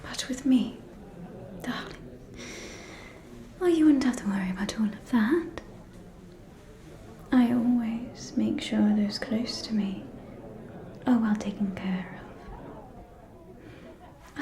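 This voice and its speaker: A woman playing a scheming, falsely caring aristocratic vampire in a whispered ASMR roleplay, with a soft, breathy, silky and insinuating delivery. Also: fairly high pitch. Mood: seductive, manipulative. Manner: slow, silky, insinuating, condescending.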